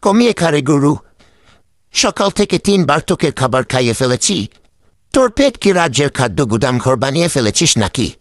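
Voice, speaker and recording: A man's voice: a little high, no room sound, clean studio sound. A man voicing the witty old village sage in a cartoon, teasing and grumbling to himself. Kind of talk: cartoon voice acting.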